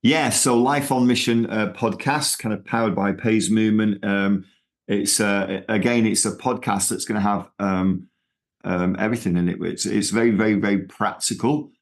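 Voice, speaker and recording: A middle-aged man with a Manchester accent speaks very low, his voice gravelly with fry. He talks loosely as an interview guest, circling back with fillers and restarts. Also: steady tone, moderate pace. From England. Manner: rambling, with many fillers and restarts. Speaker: ministry founder, guest. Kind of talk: conversational interview.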